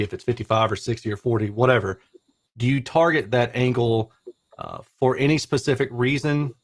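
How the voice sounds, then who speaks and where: A man in his forties hosts a technical interview in a casual way. His pitch is average for a man. He talks informally, framing questions with conversational asides. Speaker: host, interviewer.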